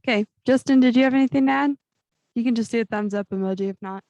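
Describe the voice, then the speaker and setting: A woman chairing a student government meeting over a video call, brisk and upbeat as she calls on people. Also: on the high side, darkish tone, dry recording, muffled recording. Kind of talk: running a meeting.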